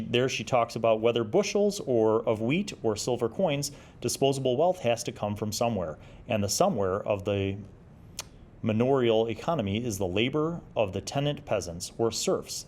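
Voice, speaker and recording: A man's voice: medium pitch, a little mellow. A man hosting a retro gaming review, talking casually and thinking aloud as he goes. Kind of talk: casual rambling review commentary.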